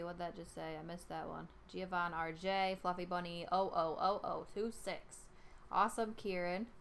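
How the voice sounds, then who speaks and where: A young woman streamer with a New York accent and a fairly low voice. She talks casually into a headset at home, informal and chatty as she reads out names. There is some faint noise behind her. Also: fairly bright tone. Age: young adult. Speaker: live streamer. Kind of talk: casual live-stream chat, reading out usernames.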